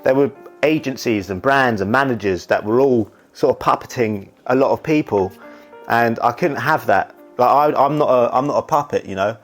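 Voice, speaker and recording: A man's voice: mid-range voice, moderate pace, faint music behind. A young man talking candidly about his life, rambling and often saying "you know". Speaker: YouTuber and wedding videographer. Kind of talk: reflective casual monologue.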